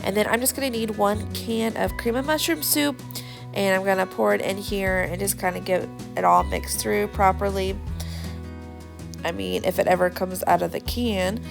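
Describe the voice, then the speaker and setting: A hoarse woman in her thirties hosting a casual cooking vlog, chatty and full of ums and likes. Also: medium pitch, animated, moderate pace, music in the background. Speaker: cooking channel host.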